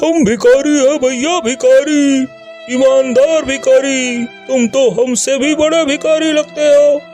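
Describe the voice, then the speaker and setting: A male performer puts on a proud, cheeky beggar for a comic cartoon. The voice is extremely high and slow, sarcastic and repetitive, over faint music. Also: fairly even intonation, darkish tone, exaggerated voice. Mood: mock offended. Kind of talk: comic cartoon story acting.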